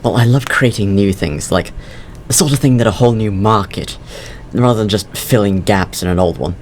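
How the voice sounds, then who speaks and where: Young male, actor as anxious student job applicant, audio drama: lowish pitch, halting, awkward, noisy ambience. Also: lightly acted. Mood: shyly keen. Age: young adult. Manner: hesitant, stammering, awkward.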